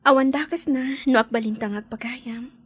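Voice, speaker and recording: A young Ilocano woman in a radio drama who holds back her hurt. The voice is fairly high and subdued, trailing into breath at the ends of lines. The manner is guarded and resigned. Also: fairly even intonation, normal speed, slightly rough, no room sound, studio quality. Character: Estela, hurt but restrained young woman, jilted rival.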